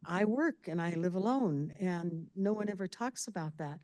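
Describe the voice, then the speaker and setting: Woman in the crowd, loud and candid, lowish voice, dry sound. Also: lively intonation, moderate pace, dry recording. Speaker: audience member, caregiver. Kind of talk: audience comment.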